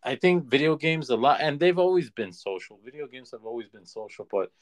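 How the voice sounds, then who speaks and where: A man co-hosting a casual movie review chat, talking conversationally and haltingly, repeating phrases for emphasis. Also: medium pitch, animated. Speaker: podcast co-host.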